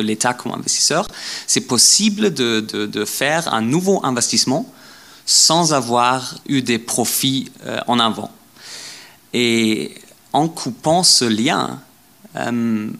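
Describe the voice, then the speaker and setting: A man giving an academic lecture in a language that is not his first, halting often with repeated words and fillers. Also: mid-range voice, a bit bright. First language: not French. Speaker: guest lecturer.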